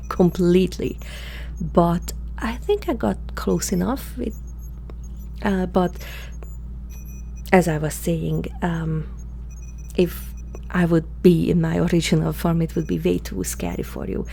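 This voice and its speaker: A woman plays a curious female alien scientist in an intimate ASMR roleplay, addressing the listener directly. Her voice is mellow and on the low side, with halting, awkward phrasing and soft delivery. A faint hum sits behind her. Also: creaky. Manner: hesitant, halting, softly spoken, sometimes whispering. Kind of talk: ASMR roleplay, one-sided dialogue to the listener. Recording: faint background hum and noise.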